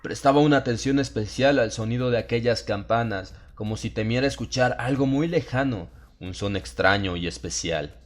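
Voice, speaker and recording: A man's voice: medium pitch, normal speed, few pauses. A man narrating a dramatized horror audiobook as a solemn, brooding first-person storyteller. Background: music behind the voice.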